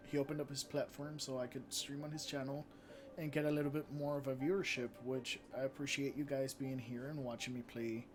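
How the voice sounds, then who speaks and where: A man's voice: mid-range voice, background noise. A man from Mexico, a Spanish speaker, chatting casually with viewers and reading out their comments while live-streaming a game. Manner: chatty, reads out viewer comments, frequent fillers and restarts. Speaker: live streamer and channel moderator. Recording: game music playing loudly underneath. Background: game music.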